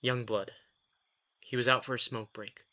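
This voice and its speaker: A gruff, defensive young vampire who answers in short, prickly bursts, played by a man. His voice is bright and forceful, and his pitch sits in a middling range. Audio drama acting. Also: level tone, close to an everyday voice. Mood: curt. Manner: terse, prickly, quick to snap.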